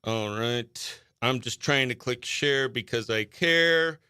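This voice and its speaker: A man hosting a morning radio show, chatting excitably and playfully through banter and giveaway patter, with music faintly behind him. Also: mid-range voice, slow, smooth, dry recording, clean studio sound.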